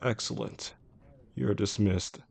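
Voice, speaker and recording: A man playing a possessive, menacing boss in a romantic audio roleplay, speaking in a controlled, formal way with a gravelly voice. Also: fairly low pitch, darkish tone, heavily acted. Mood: cold, threatening. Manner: controlled, deliberate, formal wording. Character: possessive, menacing male boss obsessed with an employee.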